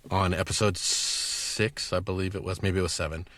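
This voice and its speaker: A man's voice: low-pitched, steady tone, moderate pace, fairly bright tone. A man in a casual chat as a podcast guest, talking in an enthusiastic, rambling storytelling way. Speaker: podcast guest, audio producer and director.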